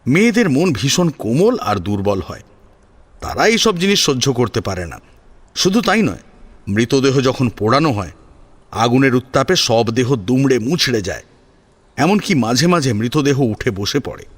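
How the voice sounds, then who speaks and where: A man narrating a scripted dramatic audio story in an expressive, emphatic manner. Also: medium pitch, moderate pace, husky.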